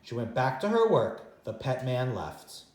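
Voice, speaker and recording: A man reads a children's picture book in a very animated, swinging voice. He uses dramatic pauses for young listeners, and the room adds a noticeable echo. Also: mid-range voice, slight background noise. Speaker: teacher reading a children's story. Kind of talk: animated read-aloud storytime narration.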